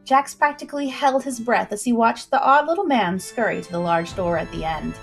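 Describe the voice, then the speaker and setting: A woman storyteller reading a scripted adventure tale in an expressive, suspenseful manner, with music behind her. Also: on the high side, a little fast, creaky. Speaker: storyteller, livestream host. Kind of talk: dramatic scripted story narration.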